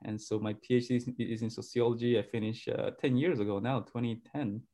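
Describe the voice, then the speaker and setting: Halting male guest from Argentina. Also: mid-range voice, fairly even intonation, dark tone. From grew up in Argentina. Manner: halting, repeats words and restarts often. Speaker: guest, pastor and sociologist. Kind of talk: conversational interview answers.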